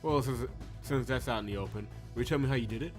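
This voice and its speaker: A man's voice: medium pitch, fast talker, natural voice. A man reading the lines of a curious, pragmatic young woman detective from a visual novel, with music behind.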